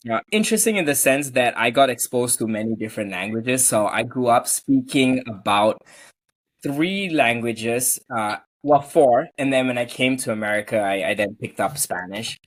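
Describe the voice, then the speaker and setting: This is a man in his thirties who grew up in Malaysia. He speaks at a middle pitch as an interview guest, answering in an earnest, conversational way and telling things as stories. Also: animated, moderate pace. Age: 30s. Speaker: podcast guest, immigration attorney.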